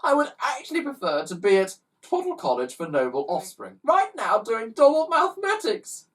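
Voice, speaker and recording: A narrator voicing a group of sobbing posh schoolchildren who wail and blubber in high, tearful voices, recorded a bit away from the mic. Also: huge pitch swings, moderate pace, far from the mic.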